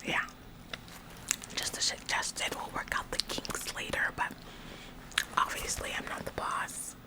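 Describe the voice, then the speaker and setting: A woman's voice: unhurried, close to an everyday voice, low-quality recording. Lady acting out a bossy office coworker in asmr roleplay, all whispered, condescending and fake-polite nagging. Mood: faux-humble bossy. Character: officious, nitpicking female office employee acting like the boss.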